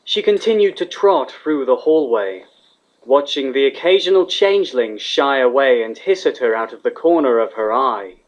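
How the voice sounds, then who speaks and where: A man's voice: mid-range voice, lively intonation, normal speed. A man reading fan fiction aloud as a scripted story narrator. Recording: dull, lo-fi sound.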